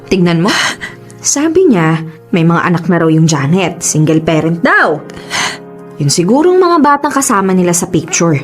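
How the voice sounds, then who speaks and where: A woman with a Filipino accent playing a blunt, protective, exasperated best friend, emphatic and expressive, in a radio drama. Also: fairly low pitch, normal speed, soft background music. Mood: scolding.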